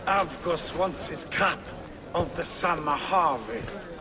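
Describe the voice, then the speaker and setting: A man playing a belligerent, menacing medieval thug in a video game, with a loud, taunting delivery. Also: on the high side, takes it slowly, heavy background noise. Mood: jeering, demanding. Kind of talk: video game cutscene acting.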